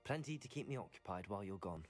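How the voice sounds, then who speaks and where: A man's voice: on the low side, lively intonation, quick pace, soft background music. A man playing an earnest, polite teenage wizard student in a fantasy video game, composed in manner. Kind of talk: video game character acting.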